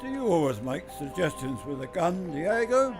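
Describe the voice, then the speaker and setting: An actor playing a wisecracking secret agent in an amateur radio drama, wry and unflustered. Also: male voice, a little high, very animated, moderate pace, loud music behind the voice. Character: wisecracking male secret agent, cool under threat.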